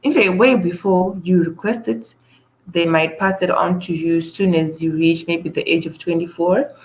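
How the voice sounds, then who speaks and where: A woman's voice: on the low side, steady tone, normal speed, darkish tone, low-quality recording. A woman from Zimbabwe hosting her own show, talking in a hesitant monologue full of "um" fillers and restarts. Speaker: show host. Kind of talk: casual monologue to camera.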